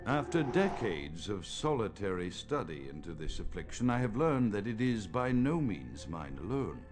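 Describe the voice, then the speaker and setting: An actor playing a pompous, scholarly vampire, delivering an erudite and theatrical monologue for a video game. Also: male voice, lowish voice, big pitch swings, normal speed, over music. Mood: self-important. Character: pompous, scholarly male vampire recounting his studies.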